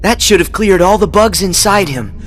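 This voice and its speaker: A man's voice: sits high, lively intonation, moderate pace, noisy background. An actor playing a cheerful, earnest teenage boy hero in video game cutscenes, talking in an upbeat, eager way. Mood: determined. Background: faint game music.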